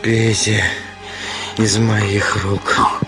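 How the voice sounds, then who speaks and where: An actor playing an irritable, haunted middle-aged gentleman in a Russian radio drama, both in dialogue and in first-person narration. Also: lowish voice, flat delivery, extremely slow. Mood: tense. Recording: radio play with loud music bed.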